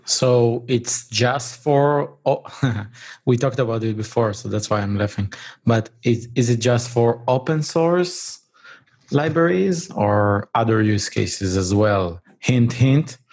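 A man on a podcast panel, playful and quick to laugh. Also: mid-range voice, expressive, a little mellow. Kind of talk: casual panel chat.

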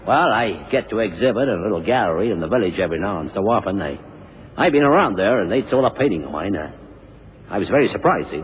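An actor playing a wry, rambling, hungover painter in an old radio drama. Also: male voice, fairly low pitch, expressive, fast, lightly acted. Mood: wry, boastful. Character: hungover self-deprecating boastful bohemian painter, confessed killer. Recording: muffled 1970s radio drama, hiss, reverb.

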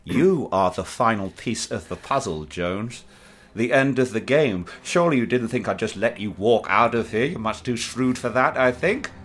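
A man voicing an aging, wealthy occult murderer who talks slowly and cruelly, relishing every word. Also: lowish voice, lively intonation, a bit bright. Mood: menacing. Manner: slow, relishing, sinister; laughs. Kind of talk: audio drama acting. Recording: music bed under voice.

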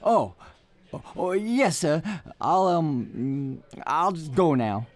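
An actor playing an eager, chatty, prying police lieutenant with a folksy manner in a radio drama. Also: male voice, a little high, big pitch swings, slow. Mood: flustered.

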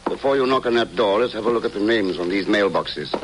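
An actor playing an unflappable secret agent, dry, clipped and commanding, in old-time radio drama style. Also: male voice, lowish voice, fast, natural voice. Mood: calm, commanding. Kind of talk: 1940s-50s radio drama acting. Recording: noisy old radio recording with clicks.

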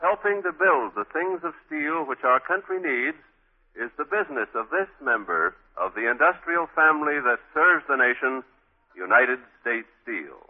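A man reading a scripted sponsor message as a formal, deliberate 1940s radio announcer. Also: fairly high pitch, lively intonation, slight background noise. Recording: muffled 1940s radio broadcast.

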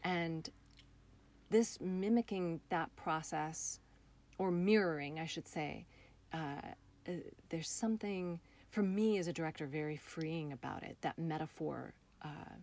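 A woman speaking as a theater director in a reflective interview, with an expressive, earnest way of talking. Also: deep voice, measured pace.

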